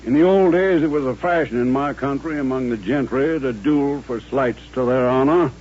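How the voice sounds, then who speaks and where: An actor playing a composed, courtly Southern gentleman and former colonel, speaking formally and politely in an old-time radio western. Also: medium pitch, moderate pace, a little hiss or hum, natural voice. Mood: proud, composed. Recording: dull old radio recording.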